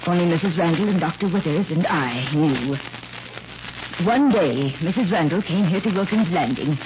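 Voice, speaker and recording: A woman's voice: fairly low pitch, lively intonation, normal speed, highs cut off, noisy background, lightly acted. A woman playing a reclusive, fearful former nurse in an old radio drama, hesitant and defensive, on a vintage recording. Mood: shaken, confessing. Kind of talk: 1940s radio acting.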